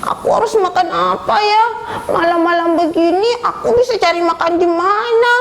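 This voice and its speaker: A woman's voice: very high voice, moderate pace, pitched above the performer's own voice. A woman voicing a small, young grasshopper that is adventurous and easily frightened, speaking in a fretful, squealing way. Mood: hungry, fretful.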